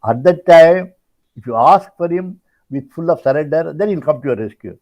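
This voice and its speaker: An older man with an Indian accent giving an informal religious discourse, retelling stories in halting, repetitive phrases as a spiritual teacher. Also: fairly high pitch, expressive. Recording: dull lo-fi audio.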